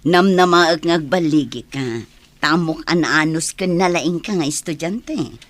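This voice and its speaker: A woman's voice: low voice, measured pace. A woman playing a kindly, encouraging older acquaintance at a university in an Ilocano radio drama, with an Ilocano accent. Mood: kindly, impressed. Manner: kindly, questioning. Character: encouraging, impressed female university teacher or official.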